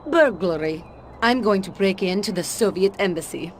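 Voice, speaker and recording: A shrewd woman spy from a Cold War video game, voiced by an actress, speaking in a Russian accent at a medium pitch. She is guarded and businesslike, with a wry edge, over faint background noise. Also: animated, moderate pace, natural voice. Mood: grave, businesslike. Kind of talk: video game cutscene voice acting.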